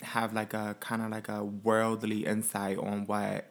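A young woman from the Dominican Republic, a guest in a studio interview, telling her story in a relaxed, drawn-out way with lots of fillers. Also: rumbling low. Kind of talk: casual interview chat.

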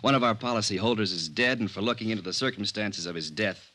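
An actor playing a brisk, sardonic, chummy American insurance adjuster in a 1950s radio drama, speaking emphatically. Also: male voice, fairly low pitch, sharp tone. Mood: chummy, exasperated.

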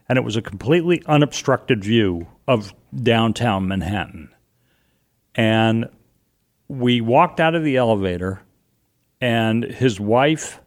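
A middle-aged man with a loud voice, telling a personal story as an interview guest, animated and vivid, acting out what people said. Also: mid-range voice. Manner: vivid, animated retelling with acted-out quotes.

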